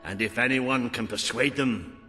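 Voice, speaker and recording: An actor playing a gruff, seasoned British soldier mentor in a video game, speaking bluntly and with command. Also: male voice, medium pitch, moderate pace, sharp tone, in a large hall, soft background music, lightly acted. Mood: urging, resolute. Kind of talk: video game cutscene acting.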